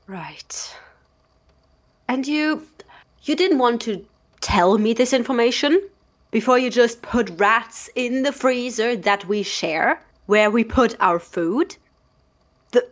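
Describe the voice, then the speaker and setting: A performer acts out an exasperated roommate in an audio drama. The delivery is slow and blunt, full of sardonic disbelief. The pitch sits in a middle range. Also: lively intonation, fairly bright tone. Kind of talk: naturalistic audio drama acting.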